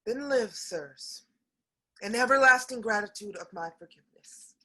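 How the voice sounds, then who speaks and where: Woman playing a high, bright, gracious noblewoman. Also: lively intonation, normal speed. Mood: magnanimous. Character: gracious, romantic young noblewoman heroine. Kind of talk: theatrical period comedy acting.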